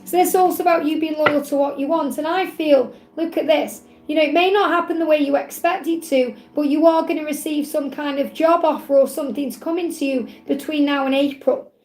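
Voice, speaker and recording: A woman, a tarot reader hosting a live Q&A, talking in a chatty, reassuring way while her voice grows croaky. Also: sits high, steady tone, a little fast, hardly any pauses, darkish tone, roomy sound, a little hiss or hum. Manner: chatty, reassuring, repeats phrases, ends points with "okay?". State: voice getting croaky. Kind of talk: live-stream tarot reading, reading out chat messages.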